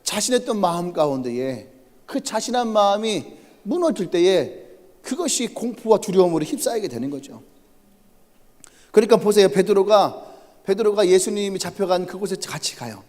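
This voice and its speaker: A male pastor with a Chungcheong accent preaches in a high, lively voice that rises and falls as he tells the story with humor. He keeps putting questions to the congregation, and a long church reverb hangs on his words. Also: normal speed. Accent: Chungcheong Korean. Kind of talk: sermon. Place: church sanctuary.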